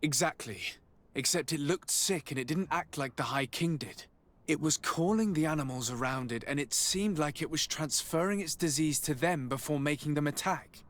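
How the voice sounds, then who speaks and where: An earnest noble envoy from a video game, played by a man. His voice sits a little high for a man. He speaks formally and seriously, in measured sentences, like someone of firm principle. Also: a bit bright, close to an everyday voice. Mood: serious, reporting. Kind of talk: dramatic video game acting.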